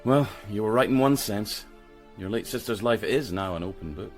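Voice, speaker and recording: An actor playing a mild, polite, apologetic but persistent police sergeant in a radio drama. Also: male voice, fairly low pitch, moderate pace, over loud music, close to an everyday voice. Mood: probing.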